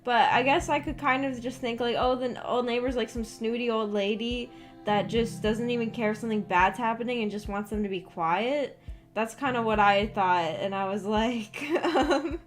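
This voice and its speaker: A woman's voice: fairly high pitch, moderate pace. A woman livestreamer chatting casually with viewers in a giggly, rambling way, peppering her talk with "like". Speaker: livestreamer, visual novel book club host. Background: background music.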